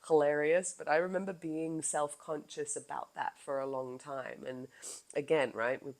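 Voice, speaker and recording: A woman hosting her own podcast in casual chat, with a low voice, animated and laughing often, now and then swearing. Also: moderate pace. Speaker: podcast host.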